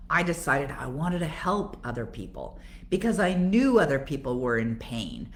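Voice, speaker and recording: An older woman with a Canadian accent, speaking conversationally as a coach on a live Q&A and answering her own rhetorical questions as she explains. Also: a bass voice. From Toronto, Canada. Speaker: coach and author hosting a live Q&A.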